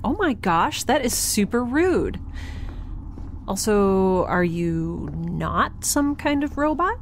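A woman's voice: mid-range voice, big pitch swings, slow pace, a little hiss or hum. An actress playing a candid, curious young woman in a science-fiction audio drama, chatty, informal and wry. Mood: wry, indignant.

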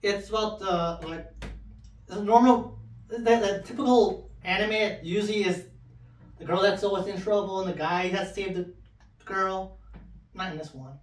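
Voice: medium pitch, a little slow, long pauses. A co-host in a casual group chat who stutters and keeps restarting words, with a mid-range voice. The sound is roomy, with the voice caught from across a small room. It is picked up by a far-off camera mic. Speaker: podcast co-host. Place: small room with colored LED lights, group around a table.

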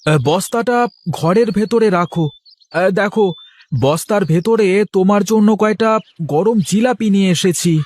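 A man's voice: high voice, normal speed, a little mellow, dry recording. A man voicing a plain-living village vegetable seller in a cartoon, wheedling and defensive, full of colloquial interjections. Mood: homely, grumbling. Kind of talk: cartoon character dialogue.

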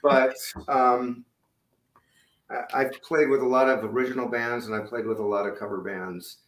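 A middle-aged man with a low voice, chatting loosely about his music over a video call, with many fillers and restarts. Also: fairly even intonation, moderate pace, fairly bright tone. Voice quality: lower-range voice. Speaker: musician guest. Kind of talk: casual interview chat. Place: home room indoors. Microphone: video call webcam. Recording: echoey room on webcam.

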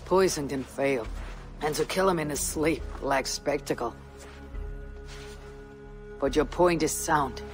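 A woman's voice: sits low, slow, long pauses, soft background music. An actress playing a stoic, blunt Viking warrior woman in a game cutscene, speaking in a terse, dry, matter-of-fact way. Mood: grave, measured.

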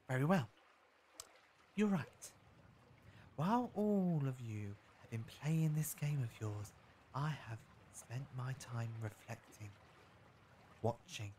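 An actor in an audio drama playing a brooding, sinister schemer who is mocking and calculating. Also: male voice, mid-range voice, expressive, takes it slowly, long pauses, dark tone, a little hiss or hum. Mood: quiet menace. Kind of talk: fan audio drama acting.

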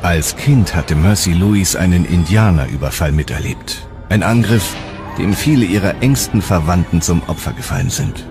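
A man's voice: low-pitched, expressive, moderate pace. A man reading scripted, dramatic narration as the narrator of a historical documentary. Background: music.

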